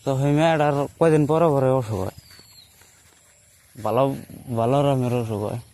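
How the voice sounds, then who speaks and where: A man's voice: medium pitch, moderate pace, warm tone. A young man speaking a rural Assam Bengali dialect, casually telling personal stories in a rambling way, circling back to repeat and rephrase himself. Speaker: storyteller recounting his own life.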